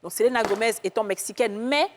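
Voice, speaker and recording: A woman's voice: fairly high pitch, fast. A woman with an Ivorian accent hosting a casual panel discussion, speaking in a colloquial, exclamatory way. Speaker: talk show host.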